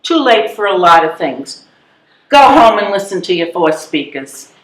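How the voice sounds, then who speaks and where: Woman acting elderly widow, stage comedy, blunt and wry, animated intonation, echoey room. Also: on the low side, measured pace. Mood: indignant. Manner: wry, pointed rhetorical questions. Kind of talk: readers theatre stage comedy. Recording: echoey live room recording.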